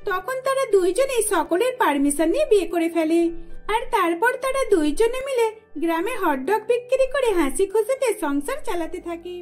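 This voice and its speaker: A woman's voice: extremely high pitch, lively intonation, normal speed. A woman narrating a scripted cartoon story as the storyteller. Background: faint music.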